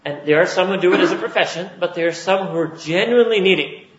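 A man's voice: high-pitched, quick pace, fairly bright tone, a little hiss or hum. A man from Pakistan giving a religious lecture as a preacher, building his points with emphatic repetition. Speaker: Islamic preacher. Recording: roomy, dull lo-fi recording.

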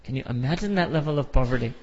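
A man's voice: medium pitch, lively intonation, rapid-fire, a little mellow. A middle-aged American man giving an emphatic sermon with repeated phrases and rhetorical questions. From United States. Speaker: imam. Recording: dull lo-fi sound with long hall reverb.

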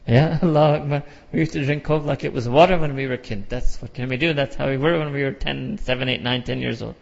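A man's voice: medium pitch, fairly even intonation, fast, gravelly. A middle-aged American man giving an emphatic sermon with repeated phrases and rhetorical questions. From United States. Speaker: imam. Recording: dull lo-fi sound with long hall reverb.

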